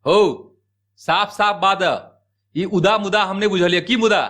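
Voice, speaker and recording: A man's voice: high voice, fairly even intonation, measured pace, a bit bright. A man voicing an impatient, easily irritated village husband in a cartoon comedy, scolding and sarcastic. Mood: alarmed. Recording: music behind the voice.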